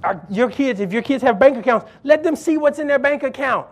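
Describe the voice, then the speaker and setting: A man's voice: very high-pitched, expressive, a little fast. A male pastor preaching a sermon, emphatic and exhorting, repeating key phrases for weight.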